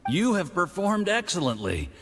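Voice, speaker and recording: A man's voice: high-pitched, huge pitch swings, normal speed, fairly bright tone, soft background music, heavily acted. A man playing a smug, scheming diplomat villain in a video game, hearty and patronizing in a theatrical way. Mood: formal, commanding. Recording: long reverberant hall, faint background noise.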